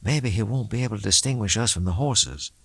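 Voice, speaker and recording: A man's voice: lowish voice, fairly quick, gravelly, a bit bright, husky, no echo, voice pushed up, exaggerated voice. A man voicing an uneasy, questioning man fleeing danger in an audiobook, anxious and earnest. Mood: worried.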